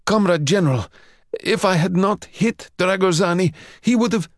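A man's voice: sits high, a little fast, vocal fry, dry recording, clean studio sound, voice pushed up. A man voicing a nervous young subordinate who anxiously defends himself to his superior. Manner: gulping, anxious.

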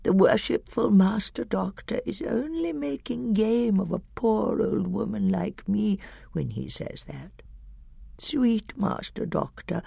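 A reader voicing a sly old hag with a shrill, whining voice and fawning whispers. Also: lively intonation, slow, vocal fry, warm tone, husky, dry recording, clean studio sound, full character voice. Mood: wheedling.

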